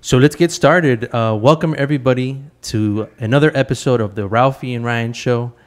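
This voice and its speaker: A man's voice: mid-range voice, moderate pace. A man in his thirties hosting a podcast from home, eager and friendly, often stumbling and repeating words as he asks questions. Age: 30s. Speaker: podcast host. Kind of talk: casual interview chat.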